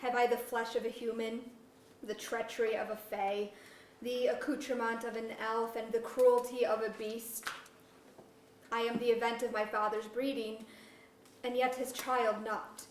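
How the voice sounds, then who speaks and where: A woman's voice: a little high, little pitch movement, a little slow, a little hiss or hum, natural voice. A woman playing a brooding, genderless magical royal in archaic verse at a staged reading. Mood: anguished, questioning. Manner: grand archaic verse delivery, self-questioning. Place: museum auditorium. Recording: distant microphone in echoey auditorium.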